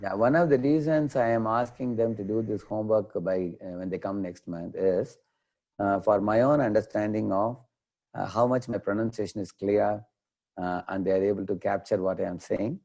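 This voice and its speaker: A man with an Indian accent, a devotional lecturer answering questions in an online class, explaining patiently with frequent pauses over a video call. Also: on the low side, steady tone, a little mellow, dull audio. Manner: unhurried, explanatory, many "uh" pauses.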